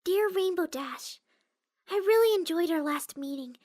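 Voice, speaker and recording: A woman voicing a gentle, timid young female cartoon pony, reading a letter aloud with sweet hesitancy. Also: very high-pitched, lively intonation, unhurried. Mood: timid, reluctant.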